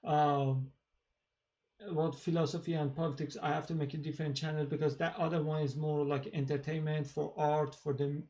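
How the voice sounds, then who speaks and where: A man with a thick Persian accent talks on his own channel in a fairly high voice. He speaks casually and haltingly, full of fillers and restarts. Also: flat delivery, darkish tone, dull audio. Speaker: channel host. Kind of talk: casual monologue to camera.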